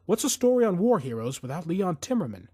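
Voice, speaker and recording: A man's voice: high-pitched, very animated, fairly quick, dark tone, husky, voice pushed up, exaggerated voice. Man voicing a polite, persistent young man. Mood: pleading. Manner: courteous, insistent, pleading. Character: polite, persistent young male assassin posing as reporter. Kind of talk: audiobook character dialogue.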